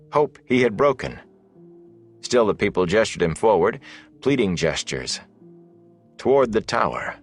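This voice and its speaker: A man with a low voice narrates an epic fantasy tale. His delivery is measured and deliberate, shaped like dramatic scripted storytelling. Faint music plays behind him. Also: fairly bright tone. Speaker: audiobook narrator.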